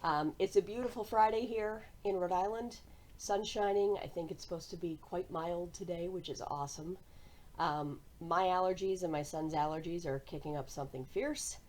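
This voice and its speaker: A woman's voice: fairly low pitch, normal speed, darkish tone, poor audio. An American woman chatting casually and cheerfully to viewers as the host of a hobby vlog. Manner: conversational, cheerful. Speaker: cross-stitch vlogger and host.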